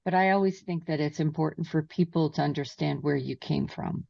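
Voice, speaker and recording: A woman's voice: low-pitched, brisk, vocal fry, warm tone, no room sound. A woman hosting an interview podcast, reading her guest's bio with small stumbles and then asking questions. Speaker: podcast host. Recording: distant, dull, dry mic sound.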